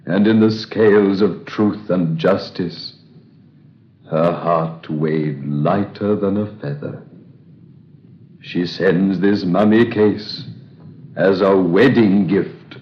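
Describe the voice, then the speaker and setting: A slow, ominous seer. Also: male voice, low-pitched, slight background noise. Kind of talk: 1930s radio drama. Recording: dull old radio recording, roomy.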